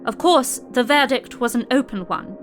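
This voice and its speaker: A woman playing a composed newspaper correspondent in an audio drama, narrating her dispatch in a measured, articulate way. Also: a little high, expressive, faint music behind, natural voice. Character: observant, composed newspaper correspondent filing reports.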